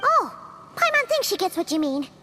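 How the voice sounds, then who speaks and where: A woman voicing a tiny, cheerful, childlike fairy companion in an anime video game, with a squeaky voice and exclaiming manner. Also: big pitch swings, moderate pace, over music. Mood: relieved. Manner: exclaiming, speaks of herself in third person.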